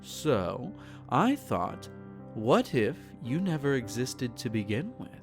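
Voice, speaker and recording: Guy doing a possessive posh vampire lord for roleplay audio, slow n formal, swoopy pitch, soft music behind. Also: sing-song. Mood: coolly pleased. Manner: formal, measured, soothing and condescending. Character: possessive aristocratic male vampire, silky, doting yet menacing. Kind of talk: roleplay audio drama, intimate monologue to the listener.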